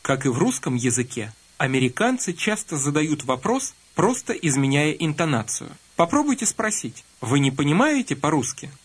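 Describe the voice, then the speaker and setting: A man narrating a scripted language lesson, calmly prompting the listener to repeat phrases. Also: a little high, moderate pace, breathy edge. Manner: calm instructive prompting. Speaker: language course instructor.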